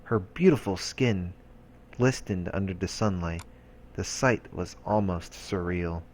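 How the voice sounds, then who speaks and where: A man's voice: deep voice, a little slow, a little mellow, slight background noise. A man reading visual novel narration aloud as a let's play host, with casual asides. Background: game music.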